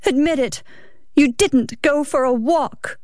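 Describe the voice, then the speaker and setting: Casting: woman as an anxious wife in an audiobook, higher-pitched, sharp and demanding, distrustful of her husband. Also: lively intonation, measured pace, no room sound, clean studio sound. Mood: sharp, accusing. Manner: tearful, sharp, insistent.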